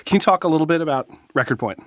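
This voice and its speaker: A man's voice: a little high, lively intonation, racing, a bit bright, dry recording. A man co-hosting a podcast, asking guests friendly questions and leading the conversation. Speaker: podcast co-host.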